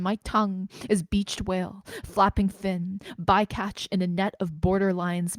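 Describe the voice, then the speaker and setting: A woman's voice: medium pitch, a little mellow, dry recording. A woman, a spoken word poet performing and introducing her own work. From Canada, Greater Toronto Area.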